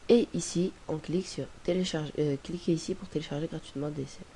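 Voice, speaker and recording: A young man with a cold, talking through a casual screen-recorded software tutorial in a rambling, hesitant way. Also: a little high, fairly even intonation, fast. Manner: rambling, hesitant, many fillers and restarts. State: has a cold. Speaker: tutorial presenter. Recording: faint hiss on home recording.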